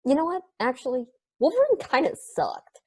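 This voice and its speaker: A woman's voice: sits high, very animated, a little fast. A woman playing a scornful, foul-mouthed comic-book nitpicker in a play table read. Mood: mocking. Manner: mocking, colloquial ranting.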